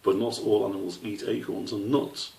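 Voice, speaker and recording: Man playing a deep-voiced, wise old father squirrel. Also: fairly even intonation, gravelly. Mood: wise, instructive. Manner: measured, gently questioning. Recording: roomy, slightly distant microphone.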